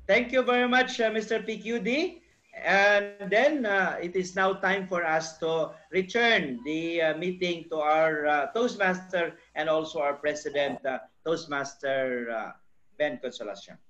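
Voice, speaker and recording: A man's voice: a little high, measured pace, a bit bright. A man serving as district director, formally handing over speakers at an online meeting with frequent fillers. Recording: muffled lo-fi video call audio.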